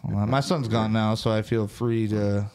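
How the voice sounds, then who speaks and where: A man's voice: on the low side, fairly even intonation, moderate pace, a little mellow, clear, resonant tone. A man co-hosting a loose, profane chat show, joking and freestyling. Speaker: podcast co-host. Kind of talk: casual chat, freestyle rapping. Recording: music playing behind.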